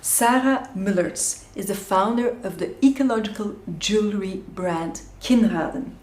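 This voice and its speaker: A woman's voice: mid-range voice, a little slow, darkish tone. A woman hosting a podcast interview, asking questions with some hesitant filler words. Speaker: podcast host. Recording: roomy echo.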